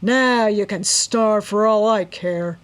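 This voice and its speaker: A woman's voice: medium pitch, a little mellow, natural voice. A woman voicing a snarling robber boss. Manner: growling, sneering, slow menacing. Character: snarling male robber gang leader, brutal.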